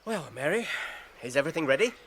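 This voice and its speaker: Gentle halfling gentleman in a radio play, wistful and polite, bright animated voice. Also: on the high side, fast. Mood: friendly. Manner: polite, reflective. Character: gentle, wistful, well-spoken male hobbit gentleman. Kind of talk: radio fantasy drama acting.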